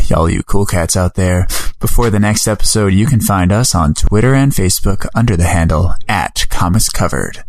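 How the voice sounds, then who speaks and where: Low-voiced radio DJ, all smooth and unhurried, drawling his sign-off to the listeners, as a man puts on the voice for a podcast. He sounds cool and relaxed. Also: no room sound, close to an everyday voice. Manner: laid-back, drawling sign-off patter. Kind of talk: mock radio announcement.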